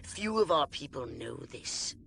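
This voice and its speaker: Pompous alien mastermind, swooping pitch. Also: male voice, very animated, a little slow, creaky, fairly bright tone, a little hiss or hum, exaggerated voice. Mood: scornful, exasperated. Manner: haughty, theatrical, condescending, formal diction. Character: pompous, exasperated male alien mastermind commander. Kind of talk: video game cutscene acting.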